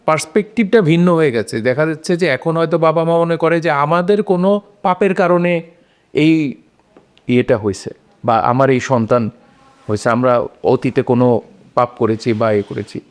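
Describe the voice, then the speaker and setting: A man's voice: on the high side, moderate pace, long pauses. A man on a discussion panel, explaining his opinions on a short story in a casual conversational way.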